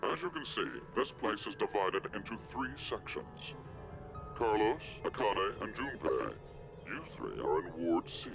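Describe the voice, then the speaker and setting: A sinister death-game mastermind from a video game. The voice is very low, swooping theatrically in pitch while staying composed and deliberate. Music plays clearly behind it. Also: male voice, big pitch swings, low-quality recording. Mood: commanding, detached. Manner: measured, deliberate, ominous storytelling. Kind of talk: video game villain monologue.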